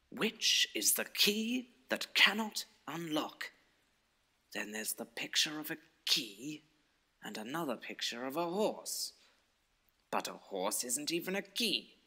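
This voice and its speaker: Bumbling adventure hero played by a man for audio story: theatrical, lively, swooping pitch, quaint old-fashioned turns. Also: slightly rough, full character voice. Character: bumbling excitable adventurer hero, thinking aloud.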